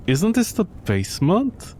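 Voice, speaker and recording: A man's voice: high-pitched, wildly swooping pitch, slow pace, dark tone, slight background noise. A man giving casual, joking, sarcastic commentary while he plays through a horror game. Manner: sarcastic, jokey.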